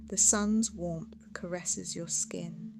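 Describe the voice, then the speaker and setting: A woman narrating a guided meditation in a soothing, measured, hypnotic way, with phrases trailing off into a hush. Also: on the low side, steady tone, gravelly. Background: music.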